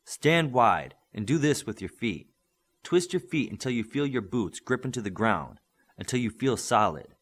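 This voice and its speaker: Man voicing a commanding superhero coach, very animated. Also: mid-range voice, normal speed, husky. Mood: firm, instructive. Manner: instructive, firm, encouraging. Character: encouraging, commanding male superhero coach and mentor. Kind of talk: audiobook character acting.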